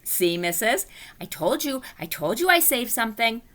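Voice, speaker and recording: A woman voicing a chatty, spunky kindergarten girl in a storybook read-aloud, eager and loud when excited. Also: medium pitch, moderate pace.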